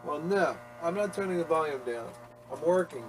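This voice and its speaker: A man's voice: fairly high pitch, lively intonation, normal speed. Joking man chatting in a workshop. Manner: chatty, joking, self-mocking. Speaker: leatherworker. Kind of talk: casual workshop narration. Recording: workshop noise behind the voice.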